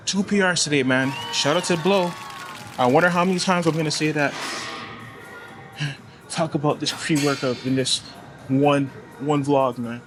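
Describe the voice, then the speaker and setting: A man's voice: a little high, moderate pace, long pauses, over music. Chatty Toronto man coaching at the gym. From Toronto, Canada. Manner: relaxed, chatty, slangy coaching between sets. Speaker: fitness vlogger. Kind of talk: casual gym vlog with exercise tips. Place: indoor gym. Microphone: clamped camera mic, no tripod.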